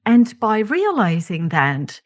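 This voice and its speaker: A woman's voice: medium pitch, wildly swooping pitch, slow pace. A woman with a German accent hosting her own podcast, talking candidly and reflectively, with frequent ums and restarts. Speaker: podcast host. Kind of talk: reflective solo podcast monologue.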